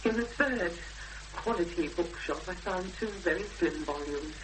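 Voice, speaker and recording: A woman playing an anxious but determined young woman in a 1970s radio drama, speaking hesitantly with thoughtful pauses. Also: fairly low pitch, animated, lightly acted. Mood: troubled, recounting. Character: anxious, determined young woman seeking her missing sister. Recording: noisy, hissy 1970s radio broadcast recording.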